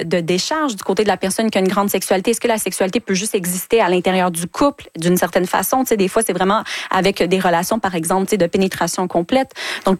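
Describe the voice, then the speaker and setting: A woman's voice: mid-range voice, no room sound. A woman gives expert advice in a thoughtful way, dotted with casual fillers. Her voice sits in the middle range. The sound is dry and close. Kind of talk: expert advice on a talk show.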